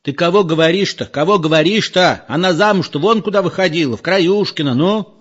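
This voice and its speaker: A man's voice: a little high, animated, normal speed, darkish tone, voice pushed up. A man voicing a chatty, argumentative old villager in an audiobook, contradicting and reminiscing in folksy colloquial speech. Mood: disputing, reminiscing. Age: older. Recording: muffled, lo-fi recording.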